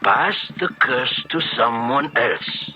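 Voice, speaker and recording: A sly professor of dark lore in an old radio thriller. He speaks slowly and deliberately, with big theatrical sweeps of pitch, on a dull vintage recording. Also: animated. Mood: instructive. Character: sly, knowing male professor of the occult. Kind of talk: 1970s radio drama acting. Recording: muffled 1970s radio drama recording.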